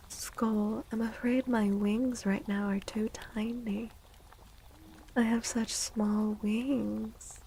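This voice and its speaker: Slow, half-whispering woman with creaky softness. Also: medium pitch, slight background noise, low-quality recording. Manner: gentle, slow, encouraging. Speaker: streamer, tarot reader. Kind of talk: soft-spoken ASMR livestream reading.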